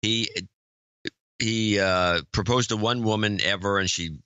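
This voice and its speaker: A man's voice: lowish voice, fairly even intonation, measured pace, sharp tone, smooth, dry recording, lo-fi sound. A man co-hosting a podcast in casual chat, blunt and opinionated. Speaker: podcast co-host.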